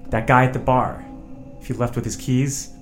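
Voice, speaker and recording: An actor playing a haunted, bitter psychic fugitive in an audio drama, confiding and earnest. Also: male voice, medium pitch, level tone, normal speed, lightly acted. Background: music.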